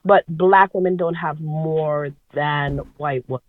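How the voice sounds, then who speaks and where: A woman with a deep voice, earnestly correcting others in a casual podcast chat. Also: steady tone, a little slow, no room sound, narrow, tinny audio.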